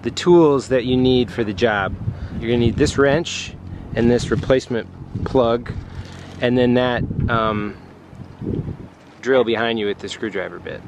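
A man's voice: mid-range voice, measured pace, pauses often. A man casually explaining and coaching as a vlog host, talking to a handheld camera. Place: outdoors on a sailboat deck. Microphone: handheld camera at arm's length. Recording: clear outdoor boat background noise.

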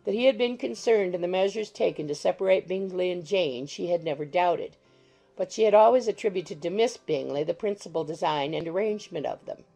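A woman's voice: lowish voice, fairly quick, gravelly, dark tone, faint music behind, poor audio. A woman reading scripted literary narration for an audiobook.

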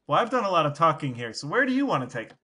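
Male podcast co-host, bright forward tone, a bit high, casual anecdotal chat. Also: sing-song, very fast, husky.

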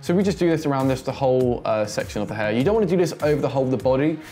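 A man in his twenties giving a casual, chatty software tutorial, thinking aloud as he demonstrates. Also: mid-range voice, lively intonation, rapid-fire, faint music behind. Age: 20s. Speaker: host, tutorial presenter.

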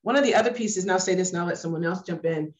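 A woman's voice: fairly low pitch, racing. A woman facilitating a workshop discussion over a video call, speaking emphatically and repeating points for stress. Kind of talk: leading an online discussion. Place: room with a sloped ceiling. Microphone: laptop webcam on a video call.

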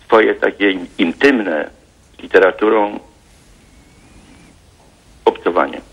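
A man calls in to a TV talk show, speaking formally and hesitantly, with reflective pauses. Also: fairly low pitch, husky. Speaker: caller. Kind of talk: phone-in call to a TV talk show. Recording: dull phone line.

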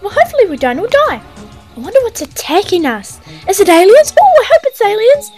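Excitable young female adventurer, played by a woman, extremely high and animated, gushing melodrama for a comedy radio play. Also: a little fast, soft background music, full character voice. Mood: giddy rambling. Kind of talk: amateur comedy radio drama acting.

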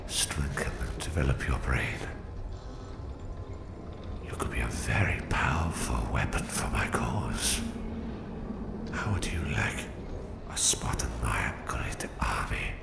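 A man playing a menacing, domineering Soviet psychic supervillain with a Russian accent in a slow, condescending ASMR roleplay, his voice whispery and gravelly. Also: a bass voice, expressive, reverberant, full character voice. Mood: smug, persuasive.

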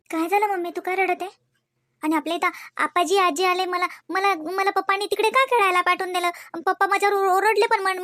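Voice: very high voice, pushed and bright, no room sound, studio quality. A performer playing a little girl who pleads with her mother and keeps asking questions.

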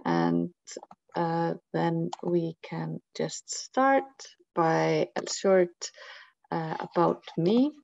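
A woman's voice: fairly low pitch, expressive, extremely slow, creaky, a little mellow, dry recording. A woman from Iceland, whose first language is Icelandic, giving a webinar talk in a thoughtful way with many hesitations, heard over a headset on a video call. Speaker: guest speaker. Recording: dry lo-fi video call audio.

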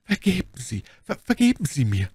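A man's voice: on the high side, sing-song, normal speed, darkish tone, breathy edge, pitched above the performer's own voice. A man voicing an earnest, agitated young female novice in an audiobook. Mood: remorseful. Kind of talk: dramatic audiobook character voice.

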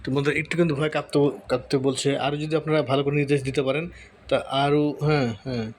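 A man's voice: a little high, little pitch movement, quick pace. A man hosting a casual tutorial, explaining informally and often murmuring to himself as he works. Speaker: tutorial host. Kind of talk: casual screen-recorded tutorial, thinking aloud. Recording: noticeable background noise.

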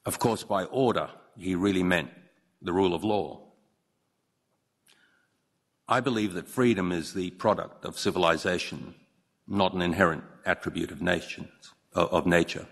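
A man, giving a measured, earnest formal speech as a newly appointed judge, with occasional slips and restarts. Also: deep voice, gravelly, a bit bright. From Hong Kong. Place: large courtroom. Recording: long reverb of a large courtroom.

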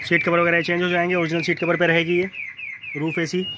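A man's voice: on the high side, monotone, fast talker. A man with a North Indian accent presenting used cars in a matter-of-fact walkaround, listing details in short phrases. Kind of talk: spoken walkaround sales presentation. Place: outdoor car lot, beside and inside cars. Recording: noisy outdoor street ambience.

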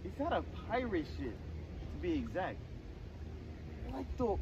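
A bewildered young orphan lost on a fantasy island, acted by a man. His voice is fairly high and very animated as he questions everything in casual, repetitive bursts. It is clearly noisy outdoor sound from a homemade short film. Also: a little slow, dull audio, heavily acted. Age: young adult. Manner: incredulous, questioning, repeats himself. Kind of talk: hobby fantasy short-film acting, colloquial. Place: outdoors by a lake and woods.

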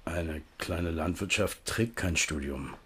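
An actor playing a plain-spoken rural landlord in a radio play, blunt and matter-of-fact. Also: male voice, sits low, slow pace, fairly bright tone, slightly rough, exaggerated voice. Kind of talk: 1970s German radio play acting.

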